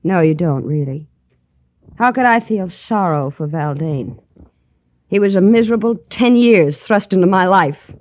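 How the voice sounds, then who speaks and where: A woman's voice: on the low side, animated, unhurried, darkish tone, no room sound. Genteel, bitter divorced woman. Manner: mannered, polite with bitter edge. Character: bitter, genteel divorced mother, coolly polite. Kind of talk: 1940s-50s radio drama acting.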